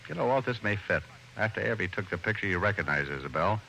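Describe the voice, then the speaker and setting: Male detective, low, bright and assured, dryly witty, old radio crime show, hissy recording. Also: animated, fast, vocal fry, full character voice, poor audio. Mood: musing, intent. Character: determined, quick-witted male private detective with dry humor. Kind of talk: old-time radio detective drama acting.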